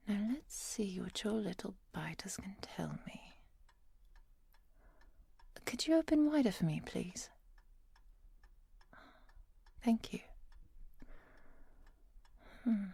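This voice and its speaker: A woman playing a composed, caring professor and vampire hunter in an ASMR roleplay, speaking softly and precisely, often near a whisper. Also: medium pitch, vocal fry, husky, dry recording, full character voice. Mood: calm, clinical. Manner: measured, precise, softly commanding.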